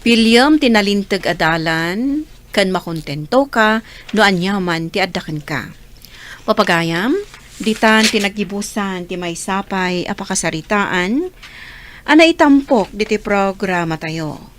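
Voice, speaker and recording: A woman's voice: mid-range voice, expressive, a little slow. A woman announcing and narrating a radio drama programme from a script.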